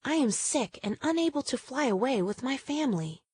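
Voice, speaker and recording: Woman voicing a polite little sick sparrow, lifted pitch. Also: very animated, fairly quick, breathy edge, exaggerated voice. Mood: polite pleading. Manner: respectful, gentle pleading. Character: small sick young female sparrow, polite and pleading. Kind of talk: children's storybook character voice.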